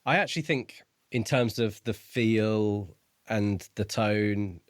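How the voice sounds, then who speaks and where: Guy with a slightly low voice chatting about books, measured and informal, super dry studio-ish sound. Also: dry recording. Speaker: book podcast co-host. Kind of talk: casual book discussion.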